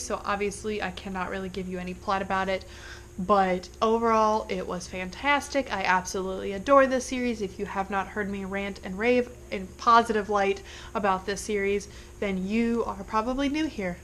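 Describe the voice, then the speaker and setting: A woman's voice: medium pitch, a bit bright, slight background noise, poor audio. A young woman with a congested voice, chatting casually through a monthly book wrap-up with rambling asides. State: congested from illness. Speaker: book vlogger.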